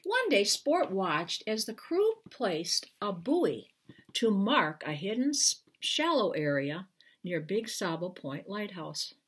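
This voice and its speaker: A woman in her sixties or older with a husky voice reads a children's book aloud in a deliberate, expressive way, as an author at storytime. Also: medium pitch. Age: 60s or older. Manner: deliberate, expressive reading with brief explanatory asides. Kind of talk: storytime read-aloud to camera. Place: small living room at home, indoors.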